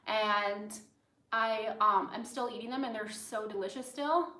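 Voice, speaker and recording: A woman's voice: mid-range voice, a little slow, sharp tone. An American woman chatting casually on a cooking livestream, rambling and drifting into asides as she works. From United States, grew up in Los Angeles. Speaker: host of a cooking livestream, chef. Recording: roomy, echoey indoor sound.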